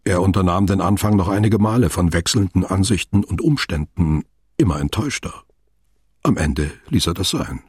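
An actor playing a sober, detached narrator, reading scripted literary prose in a radio play. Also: male voice, animated, moderate pace, darkish tone, no room sound, studio quality. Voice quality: very husky, low.

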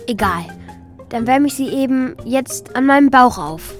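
A secretive school-age child tells the story in a children's radio play. The voice is high and youthful, with music running clearly underneath. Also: female voice, animated, unhurried. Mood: confiding. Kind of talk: children's radio play, first-person narration and dialogue.

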